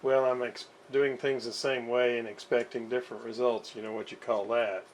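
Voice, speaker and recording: A man giving casual, unscripted workbench commentary in a halting way, with pauses and self-deprecating asides. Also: mid-range voice, flat delivery. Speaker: host of radio repair videos. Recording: clear background noise.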